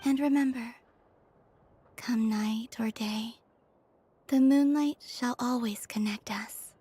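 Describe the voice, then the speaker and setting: A woman voicing a gentle, mysterious young woman in a game cutscene, speaking in a soft, breathy whisper. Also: fairly high pitch, steady tone, slow pace, a little hiss or hum. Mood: dreamy.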